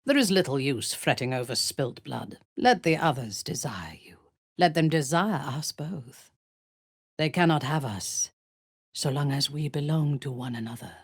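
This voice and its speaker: A woman playing a commanding, ruthless zealot warrior in a video game, speaking with a low husky voice and a measured, imperious, menacing manner. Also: animated, dry recording, clean studio sound, heavily acted. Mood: intimate, grave. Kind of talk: video game character voice lines.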